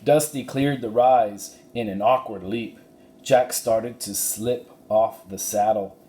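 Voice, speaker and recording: A man giving an expressive narration of a children's storybook. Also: lowish voice, takes it slowly. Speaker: story reader. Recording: roomy echo, faint background noise.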